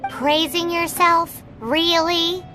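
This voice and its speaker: A woman voicing a tiny, cheeky, childlike fairy companion in a video game, excitable and bratty. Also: very high-pitched, takes it slowly, soft background music, heavily acted. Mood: cheeky, teasing. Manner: childlike, refers to self in third person.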